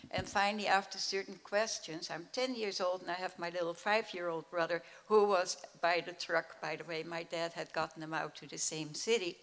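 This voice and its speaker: An older woman in her eighties calmly recounts her childhood for students at a school event, pausing with fillers. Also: fairly low pitch. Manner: plain recounting, with hesitant fillers. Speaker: Holocaust survivor speaker. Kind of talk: personal storytelling at a school event. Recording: echoey school hall.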